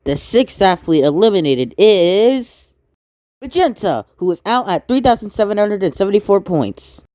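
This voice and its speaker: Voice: medium pitch, sing-song, moderate pace, darkish tone, dry recording, clean studio sound. A person doing amateur cartoon voice acting in a theatrical way, switching between characters.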